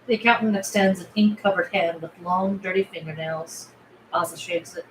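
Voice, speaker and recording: A woman's voice: lowish voice, steady tone, normal speed. Woman reading stage directions, echoing room. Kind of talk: scripted narration at a table read. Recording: roomy echo with clear background noise.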